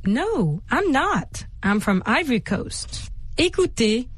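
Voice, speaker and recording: A woman presenting a scripted bilingual radio language lesson, enunciating examples deliberately in a teacherly way. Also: high voice, sing-song, slightly rough. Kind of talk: scripted bilingual English lesson for radio, with repeat-after-me drills. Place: radio studio.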